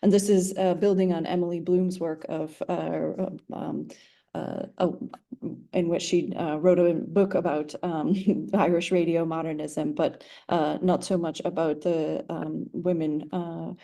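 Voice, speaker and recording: A woman presenting an academic talk in a halting, tentative way. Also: fairly low pitch, flat delivery, vocal fry, mellow.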